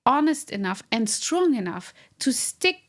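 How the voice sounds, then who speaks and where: A woman's voice: on the high side, very animated, unhurried. A woman hosting an interview, responding with empathy and sometimes searching for her words. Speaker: podcast host. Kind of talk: interview conversation.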